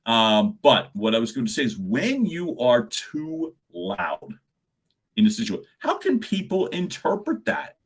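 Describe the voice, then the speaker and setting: A middle-aged man with a loud voice, coaching on a live stream and swinging his pitch and volume as he talks to viewers. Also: measured pace, breathy edge. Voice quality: loud speaking voice. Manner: animated, swings pitch and volume, chuckles often.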